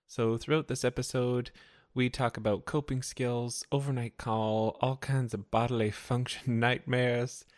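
A young man co-hosting a podcast, a little raspy, chatting casually with camp, giggly banter. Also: medium pitch. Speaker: podcast co-host. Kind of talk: casual chat.

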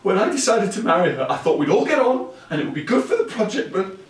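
An actor playing an earnest, halting brother who confesses and pleads with his sisters in an audio play. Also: high-pitched, sing-song, distant mic. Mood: despairing, upset. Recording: roomy, off-mic, faint background noise.

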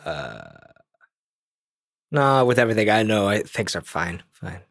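A man's voice: fairly low pitch, big pitch swings, a little slow, creaky, a bit bright, no echo, studio quality. A man playing a tabletop game, talking casually in a hesitant, joking way as he describes his character. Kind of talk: casual roleplay chat.

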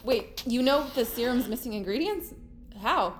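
An actress playing a brash, upbeat, warm-hearted young woman in an audio drama. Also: fairly high pitch, expressive, moderate pace, a little hiss or hum, natural voice.

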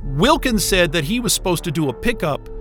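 A man's voice: high-pitched, very animated, fairly quick, a bit bright, faint music behind. An actor playing a frustrated, sarcastic man trapped at a remote station, sardonic and exasperated, in a naturalistic audio drama. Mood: agitated.

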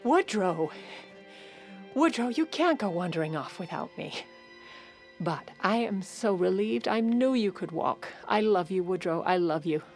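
A woman's voice: medium pitch, lively intonation, unhurried. An actress playing a steely, protective First Lady in an audio drama, composed and commanding in both dialogue and confiding narration. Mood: tender, relieved. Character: steely, protective First Lady covering for her ailing husband. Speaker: lead character and narrator. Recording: music under the voice.

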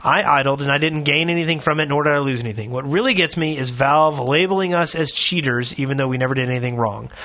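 Male podcast co-host, raised register and sharp bright tone, conversational read-aloud delivery. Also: fairly high pitch, fast talker, hardly any pauses. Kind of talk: reading listener email aloud, casual comment.

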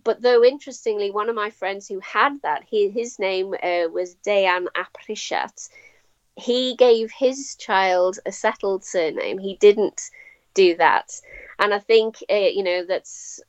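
A woman, a guest academic, explaining in a measured, thoughtful way with frequent ums and restarts. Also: mid-range voice, dry recording, highs cut off. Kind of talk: explanatory interview talk.

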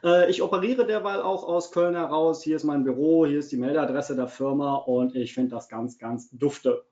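A man's voice: fairly high pitch, moderate pace, warm tone. Chatty man presenting a webinar. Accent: slight Cologne tinge. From Germany, Cologne. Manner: chatty, many fillers, repeats words for emphasis. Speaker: webinar presenter, SEO consultant. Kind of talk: live webinar talk. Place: home office. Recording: muffled lo-fi webinar audio.

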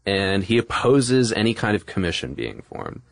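Deep-voiced man with very lively intonation. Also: normal speed, gravelly, no room sound. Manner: informal, colloquial explaining. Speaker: podcast co-host. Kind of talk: casual conversational history podcast.

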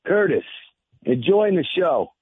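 A man's voice: fairly high pitch, sing-song, slow pace, slightly rough, dry recording. Tinny phone-line New man in his sixties. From New York State. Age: 60s. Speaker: phone-in caller. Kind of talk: casual reminiscing on a radio call-in. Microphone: telephone.

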